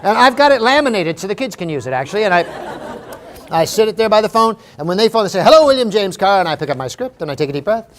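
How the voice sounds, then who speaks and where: A middle-aged Canadian man giving a comic motivational talk to a live audience, telling stories in an animated way and repeating phrases for emphasis. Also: high voice, fast talker, some chatter behind, slight background noise. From Maritime Canada. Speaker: humorous keynote speaker.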